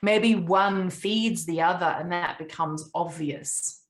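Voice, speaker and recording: A woman in her late forties, raised in Papua New Guinea, coaching a group on a video call in an earnest, reflective way with long pauses. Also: on the low side.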